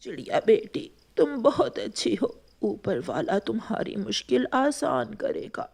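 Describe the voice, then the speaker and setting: A woman voicing a gentle, kindly and plaintive old female dove in a cartoon. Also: fairly high pitch, big pitch swings, a little slow, creaky, slightly rough, full character voice. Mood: grateful.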